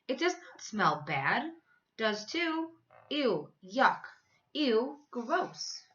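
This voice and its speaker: Woman putting on bright, expressive young schoolgirls. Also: a little high, very slow, highs cut off, voice pushed up, exaggerated voice. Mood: huffy, disgusted. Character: young schoolgirls, blunt, touchy, quick to make up.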